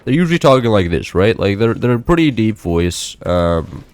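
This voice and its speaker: A young man in his late teens chatting loosely while playing a game, rambling with many fillers and restarts, his voice a little high for a man. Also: big pitch swings, normal speed, darkish tone, no echo. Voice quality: slightly high-pitched for a man. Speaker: gameplay streamer. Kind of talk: casual chat while playing.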